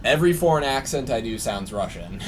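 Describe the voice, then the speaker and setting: A man's voice: medium pitch, animated, a little fast. A man co-hosting a game livestream, trading dry, short quips and game commentary. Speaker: co-host playing the game. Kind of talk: casual chat while streaming a game. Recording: distant mic with loud noise.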